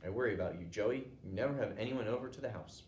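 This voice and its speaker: A man voicing a worried, gently coaxing mother of a schoolboy in a storybook. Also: on the low side, fast talker, lightly acted. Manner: concerned, coaxing. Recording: roomy echo, noticeable background noise.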